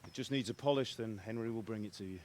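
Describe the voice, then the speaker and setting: An actor playing a middle-aged village blacksmith, a stern and cautious father with a measured, plain-spoken manner in a game cutscene. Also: lowish voice, darkish tone, a little hiss or hum, close to an everyday voice. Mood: respectful. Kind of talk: dramatic game cutscene acting.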